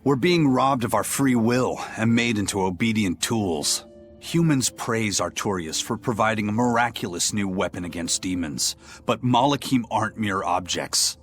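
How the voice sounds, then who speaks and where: A man playing a gruff, worldly male spirit pirate in a video game, terse and matter-of-fact. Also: on the low side, normal speed, soft background music, natural voice. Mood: grave. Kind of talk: video game cutscene acting.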